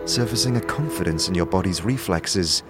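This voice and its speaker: A man's voice: sits low, expressive, normal speed, over music. A man narrating a fantasy audio drama in a dramatic, scripted storytelling style.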